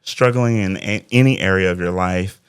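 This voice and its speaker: A man's voice: deep voice, moderate pace, clean tone, no echo, studio quality. A man in his forties speaking as an interview guest and life coach, talking reflectively about faith and planning. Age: 40s. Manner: reflective, faith-driven talk. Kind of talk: casual interview conversation.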